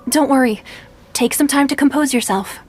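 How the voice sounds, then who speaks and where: A woman's voice: high-pitched, normal speed, darkish tone, slight background noise, close to an everyday voice. A woman playing a polite, attentive ship crew member in a video game, formal and courteous. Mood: reassuring.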